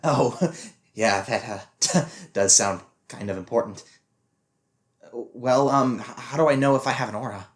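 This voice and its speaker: A man's voice: medium pitch, a little slow, lightly acted. A man playing an awkward, unsure young novice fighter in a fan audio drama, hesitant and sheepish. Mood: curious, unsure.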